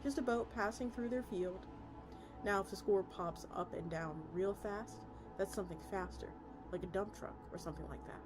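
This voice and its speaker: A woman's voice: medium pitch, moderate pace. A woman streamer reading a story aloud expressively, sometimes stumbling over words and restarting lines. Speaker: VTuber streamer. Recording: music playing under the voice. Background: music.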